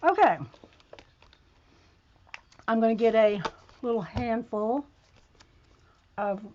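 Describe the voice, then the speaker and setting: A woman hosting a casual livestream, chatting straight to her viewers while she is losing her voice and sounds hoarse. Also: a little high, takes it slowly, darkish tone, lo-fi sound, a little hiss or hum. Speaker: livestream host.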